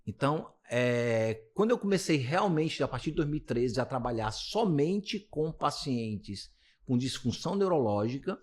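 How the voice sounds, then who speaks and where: A man's voice: medium pitch, animated, normal speed. Rambling Brazilian man in his fifties. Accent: Brazilian Portuguese. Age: 50s. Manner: rambling, thinks aloud with many restarts. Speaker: physiotherapist guest. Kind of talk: casual interview talk.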